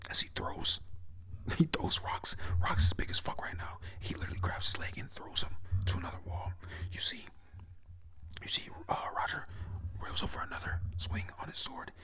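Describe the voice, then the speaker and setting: A young adult whispering a rambling, excited play-by-play recap at home, full of fillers. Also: moderate pace. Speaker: anime episode recapper. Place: at home with family nearby.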